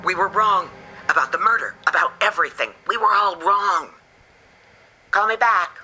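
A man's voice: high-pitched, moderate pace, natural voice, low-quality recording. An actor playing a distressed investigator in a noir audio drama, a man. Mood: urgent. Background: ambient music.

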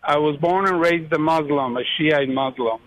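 A man from Iran calling in to a radio show, speaking with excited, effusive gratitude. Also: fairly high pitch, moderate pace, no room sound, low-quality recording. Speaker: caller. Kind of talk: call-in radio conversation.

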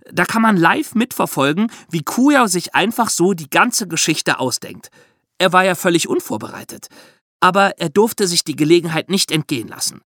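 A man hosting a podcast, explaining true crime history as lively, conversational storytelling. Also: sits high, dry recording, studio quality.

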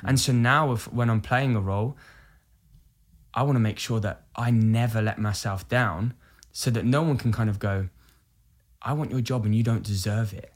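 A man's voice: medium pitch, moderate pace. A man in his thirties from the UK, an actor talking candidly and reflectively as an interview guest. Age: 30s. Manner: earnest, reflective, self-quoting. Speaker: musical theatre actor, podcast guest.

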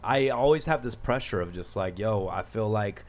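Laid-back man with a low voice. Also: expressive. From United States. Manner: laid-back, candid, quick to joke and laugh. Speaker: podcast host. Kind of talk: casual podcast chat.

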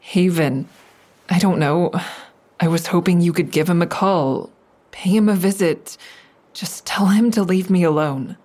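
Anxious female caller, low voice, slow halting delivery, audio drama, naturalistic. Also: warm tone. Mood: pleading, frustrated. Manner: hesitant, halting. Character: anxious, hesitant woman reporting a stalking ex-boyfriend. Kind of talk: audio drama acting, naturalistic phone dialogue.

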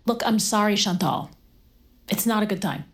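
A woman's voice: medium pitch, animated, normal speed, a little hiss or hum, lightly acted. An actress playing a grieving, guarded mother in an audio drama, with an American accent and a hesitant, subdued manner. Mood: grieving, weary. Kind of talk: naturalistic audio drama acting with narration.

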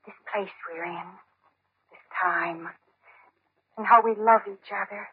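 A woman's voice: medium pitch, fairly even intonation, slow pace, breathy edge. An actress playing a dreamy, stubborn seventeen-year-old girl in love in an old-time radio drama, with theatrical delivery. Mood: dreamy, tender. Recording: muffled old radio recording, narrow band.

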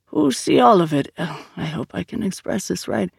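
An audiobook narrator voicing a serene male acolyte who speaks haltingly and philosophically, searching for words. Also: mid-range voice, big pitch swings, vocal fry, husky, no room sound, clean studio sound, voice pushed up, full character voice. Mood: serene, earnest. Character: serene, hesitant otherworldly religious acolyte, a male. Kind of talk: audiobook character dialogue.

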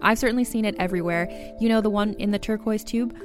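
A woman's voice: mid-range voice, fast talker. A woman giving a casual, chatty product recommendation as a sponsor ad read. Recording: music bed underneath.

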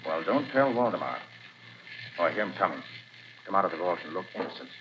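A confident detective from old-time radio. He talks fast, with a low voice and lively swings in pitch. The broadcast sounds dull, with heavy hiss. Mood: brisk. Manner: brisk, assured, quick-talking. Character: confident male private detective. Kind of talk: 1940s radio acting. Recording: dull lo-fi 1940s radio recording with loud hiss.

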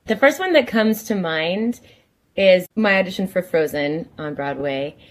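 Earnest woman with a low voice. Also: animated, measured pace. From California. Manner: earnest, reflective. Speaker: guest actor.

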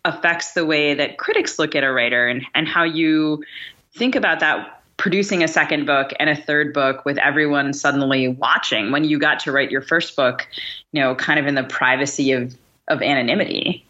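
Low, sharp-edged female podcast host. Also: normal speed, highs cut off. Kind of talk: conversational interview questioning.

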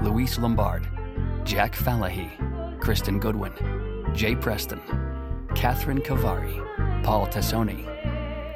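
A man reading scripted end credits as an announcer, item by item. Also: lowish voice.

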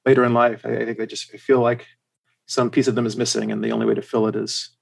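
A man with a general American accent, chatting casually as a podcast guest in a hesitant, halting way. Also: medium pitch, level tone, creaky. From United States and Germany. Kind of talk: casual chat.

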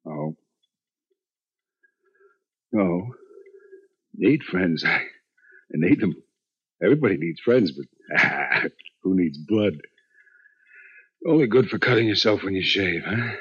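An actor playing a feckless, good-hearted husband who jokes and rambles haltingly in a 1950s radio drama. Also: male voice, sits low, big pitch swings, breathy edge, exaggerated voice. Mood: drowsy, fading. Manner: halting, rambling, self-deprecating. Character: feckless, joking husband, proud but ashamed, good-hearted. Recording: dull 1950s radio recording.

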